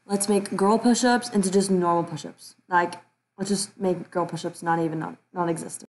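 A woman's voice: on the low side, steady tone, normal speed, darkish tone, a little hiss or hum. A woman in her twenties chatting informally as a fitness vlogger, explaining things in a rambling, casual way. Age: 20s. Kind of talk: casual vlog chat and workout voice-over.

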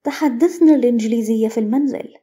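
A woman reading translations of lesson sentences in a brisk, clipped manner. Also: fairly high pitch, warm tone. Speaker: Arabic translation narrator. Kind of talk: scripted translation narration.

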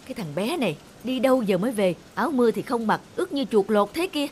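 A woman voicing a gentle, plain-spoken working father, middle-aged and humble, in an animated moral tale. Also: a little high, fast talker, slight background noise, natural voice. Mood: worried. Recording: clear music behind the voice.

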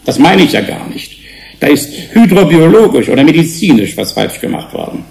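Radio drama, proud older scientist, animated pitch, creaky voice, accusing, insistent. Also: male voice, fairly quick. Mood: indignant. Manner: querulous, insistent. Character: proud, accusing older male gene-engineering professor. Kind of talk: German radio drama acting.

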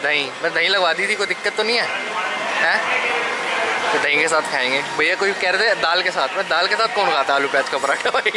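Fast-talking man over loud traffic. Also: on the high side, over a noisy crowd. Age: young adult. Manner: chatty, repeatedly checking in with viewers. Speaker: travel motovlogger. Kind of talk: casual vlog chat. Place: outdoors at roadsides, underpass and street market. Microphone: camera held at arm's length. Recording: noisy outdoor street with traffic.

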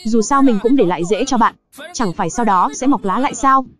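A woman acting a brisk, bossy cartoon farmer. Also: a little high, fairly bright tone, natural voice. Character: brisk, bossy female farmer handling talking crops. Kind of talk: animated cartoon dialogue dub.

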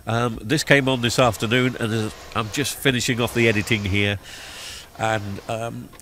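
A man's voice: mid-range voice, steady tone, normal speed, a bit bright, slight background noise. A middle-aged man with a blocked-up nose from a cold, chatting loosely through a voiceover about a TV repair with lots of ums and uhs. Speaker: TV repair vlogger. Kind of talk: casual voiceover commentary. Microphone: studio microphone recorded in post-production. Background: background music.

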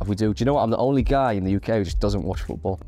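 A man's voice: fairly low pitch, very fast, warm tone, faint music behind. A man in his twenties with an English accent, a guest chatting casually with slangy banter and "mate" at the end of sentences, on a lapel mic in a small podcast studio. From England. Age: 20s. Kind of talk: casual chat.

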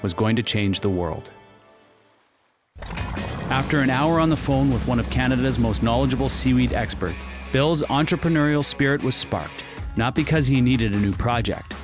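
Male promo narrator over music. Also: medium pitch.

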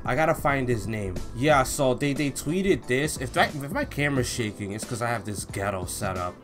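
A young man doing casual, slangy reaction commentary and read-along, expressive and given to drawn-out exclamations. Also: mid-range voice, faint music behind. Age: young adult. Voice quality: slangy, informal. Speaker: YouTube reaction host. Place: small indoor room at home.

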